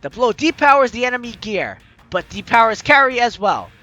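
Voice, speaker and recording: A man with a strikingly high, bright voice reads a visual novel aloud as a let's play host. His delivery is theatrical and dramatic, over background music. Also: very animated, a little fast, lo-fi sound. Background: game music.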